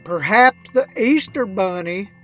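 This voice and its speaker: A woman voicing a crusty, wryly unrepentant old redneck man, telling a comic rhymed storybook tale in a folksy, drawn-out way. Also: mid-range voice, lively intonation, fairly bright tone, soft background music. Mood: smug, wry. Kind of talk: comedic rhymed storybook narration.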